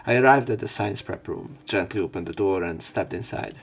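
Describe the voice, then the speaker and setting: A man's voice: fairly low pitch, fairly quick, vocal fry, breathy edge. A man doing a let's play, reading a visual novel aloud in an everyday voice and adding casual commentary. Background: game music.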